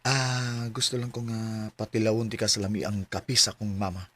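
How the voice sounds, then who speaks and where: An actor playing an eager, devoted young man in a Filipino radio drama, earnest and a little hesitant, with a slightly husky voice. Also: lowish voice, level tone, moderate pace, fairly bright tone. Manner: earnest, hesitant, then direct. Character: eager young male suitor, devoted son to his mother.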